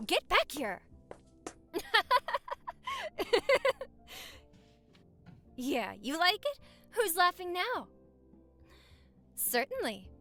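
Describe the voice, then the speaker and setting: A woman's voice: high voice, fairly bright tone, heavily acted. A woman acting a playful, lovestruck newlywed wife in a romantic audio roleplay, teasing and flirting in intimate, easily flustered banter. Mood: playfully indignant.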